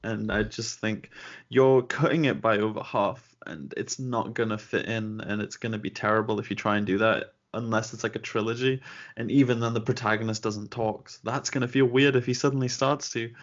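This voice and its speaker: Low-voiced man in casual chat. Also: steady tone, a little fast. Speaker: podcast co-host. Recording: dull lo-fi recording.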